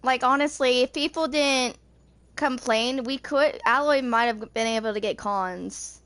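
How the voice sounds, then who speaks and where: A woman's voice: high-pitched, a little slow, fairly bright tone, no echo. A woman in her twenties chatting casually while gaming, with a rambling, informal way of talking. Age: 20s. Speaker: video game streamer.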